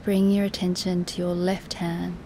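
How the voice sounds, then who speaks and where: A woman teaching guided relaxation in a soothing, soft, instructive way, with breathy, near-whispered moments and long pauses. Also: fairly low pitch, level tone, slight background noise. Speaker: yoga nidra meditation teacher.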